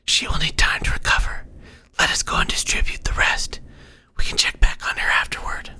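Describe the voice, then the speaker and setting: A man voicing a condescending, impatient scholarly mentor spirit for an audiobook, in a breathy, pompous whisper. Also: brisk, bright, forceful tone. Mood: brooding. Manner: pompous, verbose.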